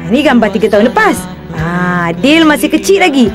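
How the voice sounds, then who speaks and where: Bubbly cartoon boy, animated high voice, music behind. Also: brisk. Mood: excited. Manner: bubbly, chatty. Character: cheerful, chatty young boy eager to show off. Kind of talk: cartoon voice acting.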